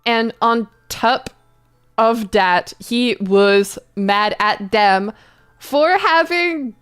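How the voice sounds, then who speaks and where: Female streamer for comic fan fiction reading, unhurried, giggly, prone to stumbles, faint music underneath. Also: on the high side, fairly bright tone, clean tone. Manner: giggly, stumbles over the text. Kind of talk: comedic dramatic reading with casual asides.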